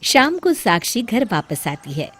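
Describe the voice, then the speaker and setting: A woman storyteller reading a scripted tale in an expressive narrating style. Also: mid-range voice, unhurried, no room sound.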